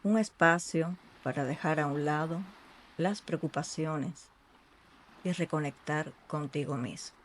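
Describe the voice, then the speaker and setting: A meditation guide speaking in a soothing, unhurried way with long pauses between short phrases. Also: mid-range voice, slight background noise. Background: ambient sea sounds.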